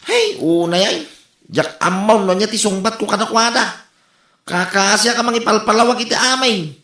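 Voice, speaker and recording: A man's voice: sits high, moderate pace, sharp tone. An actor playing an exasperated working man pestered by neighbours in an Ilocano radio comedy, grumbling and exclaiming with an Ilocano accent. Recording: roomy echo.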